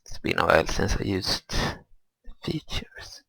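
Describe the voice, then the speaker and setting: Husky, creaky low-voiced man, halting. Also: very animated, pushed and bright, no room sound, low-quality recording. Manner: hesitant, halting, grumbling. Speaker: gamer recording gameplay commentary. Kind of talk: casual gameplay commentary, thinking aloud.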